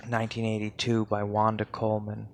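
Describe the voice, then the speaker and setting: A man reads poetry aloud in a solemn spoken-word style, with deliberate, measured phrasing and pauses. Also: on the low side, monotone, a little hiss or hum. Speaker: poetry reader.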